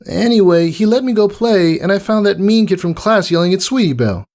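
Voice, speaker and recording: A man's voice: high-pitched, lively intonation, fairly quick, darkish tone, pitched above the performer's own voice. A man voicing a scrappy, shy young female pony foal, hesitant and childlike, in a storybook reading. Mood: explaining, earnest. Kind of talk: character voice in fan fiction reading.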